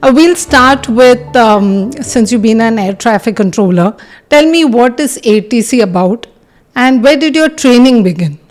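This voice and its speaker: A woman's voice: fairly high pitch, animated, unhurried, soft background music. A woman with an Indian accent interviewing a guest as a podcast host. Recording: roomy echo.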